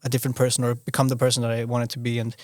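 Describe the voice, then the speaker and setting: A man's voice: mid-range voice, monotone, racing, no room sound, clean studio sound. A young man from Austria, a podcast guest talking casually, often restarting his sentences and leaning on "you know". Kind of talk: casual conversational interview.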